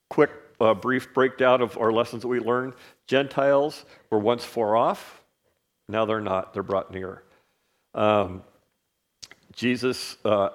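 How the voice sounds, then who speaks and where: Lowish man teaching Bible casually. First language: not English. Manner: relaxed, many fillers, asks "right?" often.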